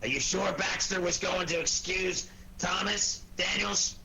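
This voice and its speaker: An actor playing a blustery, irritable police inspector with an American accent and a gruff, gravelly, bossy delivery in a 1940s radio drama. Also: male voice, high-pitched, unhurried, bright, forceful tone, heavily acted. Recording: noisy 1940s radio recording.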